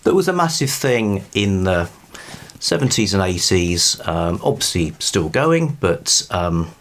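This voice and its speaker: A British man rambling informally about books to camera in a halting, thoughtful, wryly amused way. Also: low-pitched, big pitch swings, fairly bright tone. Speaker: book review vlogger.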